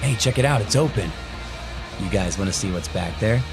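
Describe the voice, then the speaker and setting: An actor playing a brash, cocky, excitable young party guy in an audio drama. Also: fairly low pitch, fairly quick, gravelly, over music. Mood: cocky, excited.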